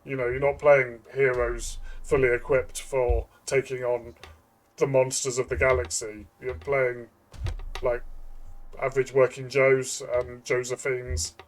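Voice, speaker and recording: A man chatting as a game reviewer, thinking aloud in a halting way with repeated words. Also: mid-range voice, little pitch movement, slight background noise. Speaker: tabletop game reviewer and player. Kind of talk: casual review discussion.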